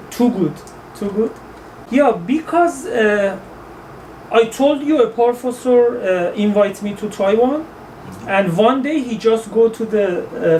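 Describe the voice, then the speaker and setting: A man in his thirties from Iran with an Iranian accent, a guest in a casual interview, speaking English haltingly and searching for words with many fillers and restarts. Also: high voice, darkish tone. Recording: distant mic with faint background noise.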